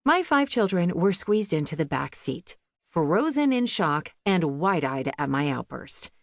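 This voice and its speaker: A woman's voice: fairly low pitch, very animated, a little slow, no room sound, studio quality. A woman reading a memoir audiobook as a scripted narration.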